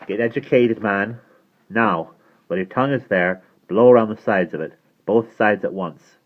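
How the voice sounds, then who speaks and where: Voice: mid-range voice, normal speed, voice pushed up, natural voice. A man voicing a proud, teasing teenage boy with an emphatic, clipped manner in an audiobook. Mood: brisk, teasing. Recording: muffled, phone-like narrow band.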